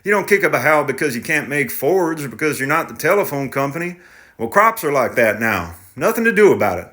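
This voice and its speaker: A man's voice: fairly high pitch, sing-song, brisk, sharp tone, pitched above the performer's own voice. A man voicing a blunt young tractor driver. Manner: terse, clipped working-man talk.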